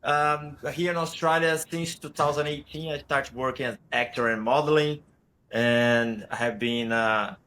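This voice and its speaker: A man's voice: mid-range voice, steady tone, measured pace, pushed and bright, a little hiss or hum. A man from Brazil with a Brazilian Portuguese accent, Portuguese as his first language, speaking hesitantly as an actor guest in a casual interview. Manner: hesitant, many restarts.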